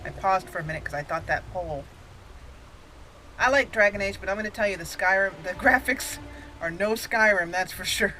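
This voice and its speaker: A woman's voice: medium pitch, a little fast, bright, forceful tone, faint music behind, poor audio. A woman hosting a roleplay playthrough, giving casual, chatty commentary and thinking aloud.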